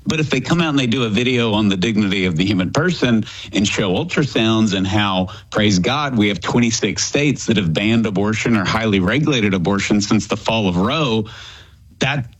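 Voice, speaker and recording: A man in his thirties, a guest on a talk radio show, speaking in an earnest, animated way and laughing easily. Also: fairly low pitch, normal speed, low-quality recording.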